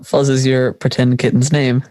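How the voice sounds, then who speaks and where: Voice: medium pitch, flat delivery, normal speed, a little mellow, dry recording, studio quality, natural voice. A teenage performer playing a carefree, teasing school friend in conversational audio drama dialogue. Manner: laid-back, teasing.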